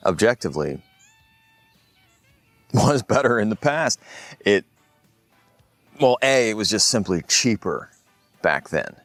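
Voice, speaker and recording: Very deep male voice, opinionated monologue, emphatic, weighty pauses, dry recording, music underneath. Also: no echo.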